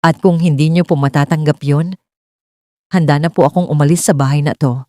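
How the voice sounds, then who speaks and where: A woman's voice: low voice, steady tone, brisk, dark tone, dry recording, natural voice. A woman voicing a long-suffering widowed daughter-in-law, earnest, respectful and emotional, pleading yet resolute. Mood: hurt, resolute.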